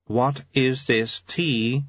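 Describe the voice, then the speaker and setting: A man voicing a cold, imperious, inhuman male official who speaks in deliberate, formal, pedantic phrases, in an audiobook. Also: fairly low pitch, expressive, a bit bright, no echo, muffled recording. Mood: commanding.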